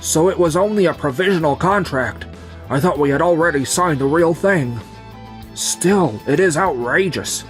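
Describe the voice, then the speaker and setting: A man's voice: on the high side, normal speed, soft background music, close to an everyday voice. A man voicing an anxious, hesitant young salesman in a manga dub. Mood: worried.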